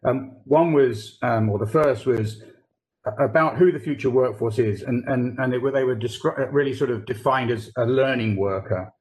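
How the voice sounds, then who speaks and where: A man on a podcast explaining a workplace research study, pausing often with fillers and restarts. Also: medium pitch, darkish tone. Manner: hesitant, many fillers and restarts. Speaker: corporate real estate research lead.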